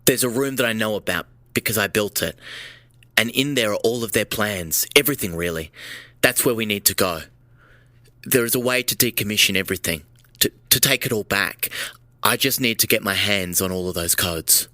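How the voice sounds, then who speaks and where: An actor playing a fidgety, guilt-ridden man in an audio drama, speaking haltingly and repeating words. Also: on the low side, bright, forceful tone, no echo. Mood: earnest. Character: fidgety, guilt-ridden man plotting to undo his damage.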